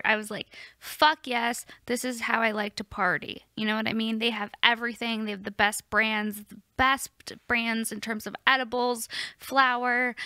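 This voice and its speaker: Bubbly woman hosting a podcast, bright dry sound. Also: a little high, no echo. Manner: bubbly, playful, quick asides. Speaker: podcast host. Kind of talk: casual podcast chat and read-out ad.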